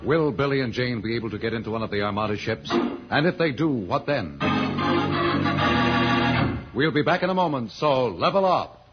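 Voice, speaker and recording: A man's voice: medium pitch, animated, normal speed, long pauses. A man announces an old radio adventure in a mid-range voice. His scripted delivery is dramatic and suspenseful. The recording is dull, with prominent music underneath. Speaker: announcer-narrator. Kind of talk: 1950s radio serial narration, scripted.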